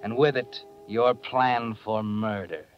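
An actor playing a sardonic, all-knowing narrator of a 1940s radio mystery, insinuating and theatrical. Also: male voice, on the low side, slow. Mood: knowing, menacing. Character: sardonic, omniscient, sinister narrator addressing the villainess. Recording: muffled 1940s radio recording. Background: dramatic music.

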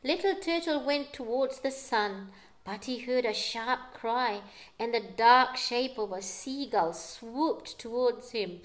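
A woman's voice: on the high side, slow, slight background noise, poor audio. A middle-aged woman reading a picture book aloud to young children at home, with dramatic delivery and explanatory asides. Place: living room at home.

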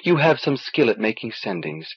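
An earnest, stubborn young man on a journey as a male narrator plays him, questioning and insistent. The voice is husky with lively pitch movement, in an audiobook from a muffled old cassette. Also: moderate pace, no echo. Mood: determined. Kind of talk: audiobook character dialogue. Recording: dull old cassette transfer.

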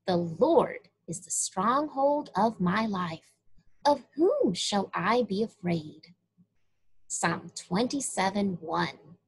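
A woman reading a story aloud to young children in a deliberate, expressive way, addressing them directly. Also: medium pitch, husky, rough recording. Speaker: children's story reader at a church.

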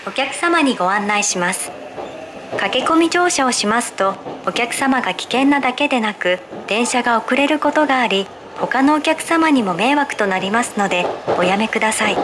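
A high female voice reads scripted transit announcements in a polite, formal, measured way. It comes over the speakers of a train car, with clear rumbling noise around it. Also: lively intonation. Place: played over speakers inside a subway car. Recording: noisy train interior, constant rumble.

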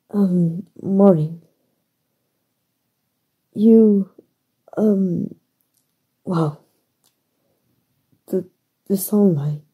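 A woman acting in an ASMR roleplay as a flustered, soft-hearted young female hero, stammering hesitantly in a soft, husky voice with a Mexican accent. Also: mid-range voice, full character voice, poor audio. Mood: flustered, smitten. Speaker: voice actor.

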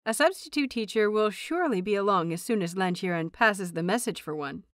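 A woman's voice: medium pitch, animated, brisk, fairly bright tone, no room sound. Woman narrating a story, very dry close sound. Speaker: audiobook narrator of fan fiction.

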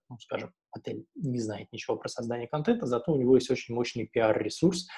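A man's voice: medium pitch, dark tone, husky. A man in his thirties giving an informal, explanatory webinar presentation over an earphone headset in a room. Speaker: webinar presenter for a travel audio guide platform. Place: indoor room at home or office, seated. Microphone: wired earphone headset on a video call.

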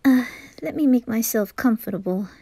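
A woman's voice: fairly high pitch, animated, moderate pace, mellow, no room sound. Woman playing a lovesick, whispery teen boy. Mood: cuddly. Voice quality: breathy whispering. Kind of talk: anime ASMR roleplay.

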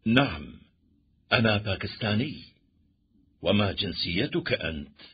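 A man reading a scripted textbook dialogue with clear, deliberate intonation. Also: lowish voice, big pitch swings. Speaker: textbook audio speaker. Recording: dull, muffled lo-fi audio.

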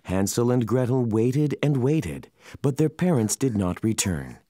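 A narrator telling a children's fairy tale in an expressive, scripted storytelling style. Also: mid-range voice, unhurried, no room sound, studio quality.

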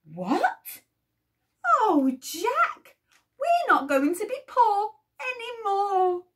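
A woman voicing a poor, dramatic mother in a storybook, with shrill exclamations. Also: very animated, drawn-out words, a little mellow, distant mic, exaggerated voice. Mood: overjoyed.

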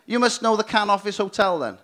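A man in his forties with a South Wales accent works a small theatre crowd in a stand-up set. His voice sits slightly high. He delivers cheeky jokes into a stand mic with animated gestures. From Cardiff, Wales. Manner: brisk, cheeky, animated with big arm gestures. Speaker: stand-up comedian. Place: small theatre stage, indoors. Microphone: stand mic close at mouth.

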